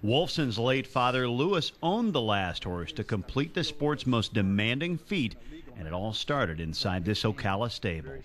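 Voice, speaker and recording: A man's voice: medium pitch, sing-song, normal speed, a bit bright. A man anchoring television sports news, reading scripted copy in a florid, alliterative broadcast style.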